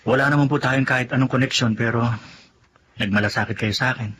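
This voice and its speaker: Humble, reformed young Filipino godson, played by a man, radio drama; sincere, hesitant, medium pitch. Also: fairly even intonation, quick pace, a bit bright, a little hiss or hum, natural voice. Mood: remorseful, grateful.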